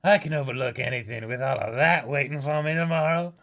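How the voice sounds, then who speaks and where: A man's voice: mid-range voice, steady tone, brisk, vocal fry, fairly bright tone. A man voicing a gluttonous, domineering giant pirate queen in a comic-book dramatic reading. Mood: smug menace. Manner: boastful, domineering.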